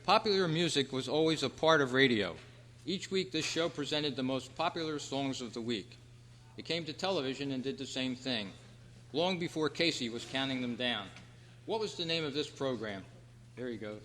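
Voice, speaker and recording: A man hosting a trivia quiz at a club meeting, reading out questions in a measured, announcing way. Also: mid-range voice, a bit bright. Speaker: quiz host. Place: hall at a convention club meeting. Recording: reverberant hall, clear background noise and hum.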